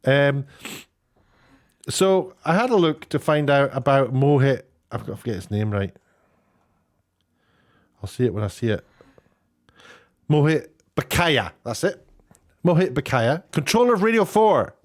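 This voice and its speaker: A British man talks through his opinions as an online commentator, chatty and unscripted. His voice sits at an ordinary mid pitch, and he wanders from point to point, dropping in dry, sarcastic asides. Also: sing-song, a little slow, long pauses. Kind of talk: casual opinionated commentary, reading out tweets and figures.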